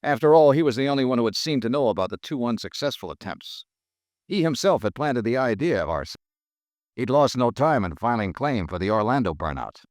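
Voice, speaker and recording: An actor playing a sharp male insurance investigator in a 1950s radio drama, narrating in a wry, level manner. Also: medium pitch, very animated, brisk, no room sound, studio quality, close to an everyday voice. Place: studio.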